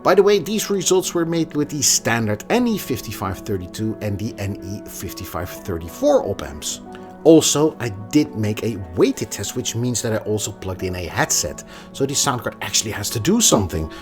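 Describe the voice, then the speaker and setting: A man's voice: on the low side, very animated, normal speed, soft background music. A man presenting a product review in a conversational, emphatic way, occasionally stumbling over a word. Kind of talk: scripted product review with casual asides.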